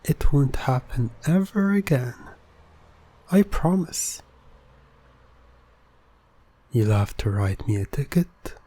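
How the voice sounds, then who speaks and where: Coaxing male hypnotist, played by a man, ASMR roleplay: soft, dark-toned, unhurried, persuasive and soothing. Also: medium pitch, very animated. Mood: feigned innocence. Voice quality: soft, breathy. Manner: soothing, persuasive, deliberate, often dropping to a whisper. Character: smooth-talking, coaxing male hypnotist playing innocent motorist.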